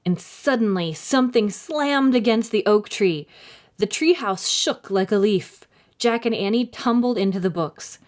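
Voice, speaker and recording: A woman narrating a children's story in a dramatic, clearly phrased, expressive reading style. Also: mid-range voice, unhurried.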